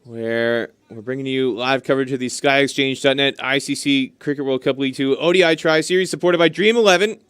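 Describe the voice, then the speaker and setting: A man's voice: medium pitch, fairly quick, hardly any pauses, fairly bright tone, clear, resonant tone, dry recording. A man doing live cricket commentary in an enthusiastic, talkative way, explaining things as he goes. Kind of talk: live sports commentary with chatty asides.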